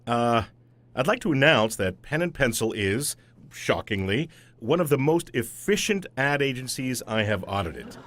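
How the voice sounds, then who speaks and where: An actor playing a dry, officious auditor in a comedic audio drama, formal and deadpan in his wit. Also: medium pitch, measured pace. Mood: stern, matter-of-fact. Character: dry, officious male auditor, stiff and exacting.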